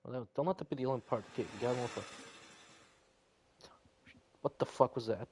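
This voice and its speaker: A man reading a visual novel aloud as a gaming video narrator, halting and stumbling with muttered asides. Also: medium pitch, darkish tone, slight background noise.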